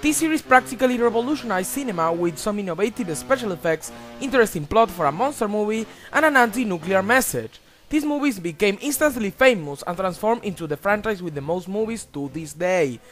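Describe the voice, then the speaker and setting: Lively high-voiced man narrating. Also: a little fast, soft background music. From Argentina. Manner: emphatic, exclamatory, crude jokes. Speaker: countdown video host. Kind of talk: scripted voice-over narration.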